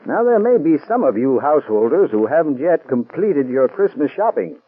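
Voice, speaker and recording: A folksy old-timey salesman, lively up and down voice, tinny radio sound. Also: normal speed, breathy edge. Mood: cordial. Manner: folksy, warm-hearted sales pitch. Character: friendly male heating expert giving greeting. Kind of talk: 1940s radio ad. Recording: old radio broadcast, thin band.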